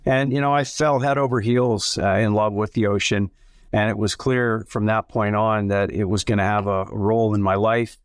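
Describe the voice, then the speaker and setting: A man, telling his story as an interview guest in a measured, earnest way. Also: lowish voice, steady tone, clean tone, no echo, studio quality. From Canada. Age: 60s. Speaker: interview guest, submarine company founder. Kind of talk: conversational personal storytelling.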